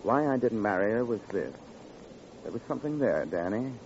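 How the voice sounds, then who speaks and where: An American actor playing a cagey, folksy lonely-hearts club operator, evasive and familiar, in an old-time radio crime drama. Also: male voice, fairly low pitch, expressive, fairly quick, darkish tone. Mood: confiding. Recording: muffled, noisy vintage radio recording.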